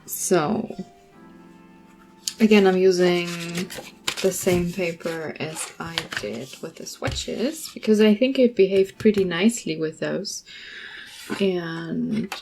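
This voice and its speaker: A woman hosting a draw-along at her art desk, chatting casually with lots of fillers, her voice a little hoarse. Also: on the low side, slow pace, faint music behind. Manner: relaxed, rambling, many fillers. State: slightly hoarse. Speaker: art channel host. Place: home art desk, indoors.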